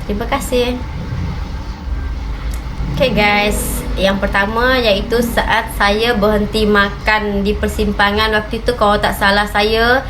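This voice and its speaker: A woman's voice: mid-range voice, little pitch movement, measured pace, background noise. A woman from Malaysia with a Malaysian Malay accent, telling a travel story in a casual, chatty vlog, full of "okey" and "macam" fillers. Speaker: reaction channel vlogger.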